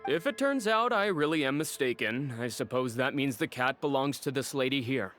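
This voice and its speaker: A man's voice: mid-range voice, lively intonation, normal speed, a bit bright, faint music behind, close to an everyday voice. An actor playing an evasive, polite male animal shelter director in a video game. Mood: polite, apologetic. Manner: hesitant, explaining, polite. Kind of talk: English game dub dialogue.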